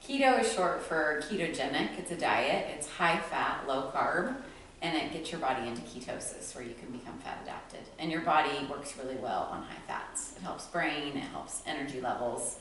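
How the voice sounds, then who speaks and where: American female guest, notably low voice, informal conversational delivery, strong room echo with slight noise. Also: normal speed, fairly bright tone. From Midwestern United States, later Utah. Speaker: interview guest, business co-owner. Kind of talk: casual chat. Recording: very echoey room, faint background noise.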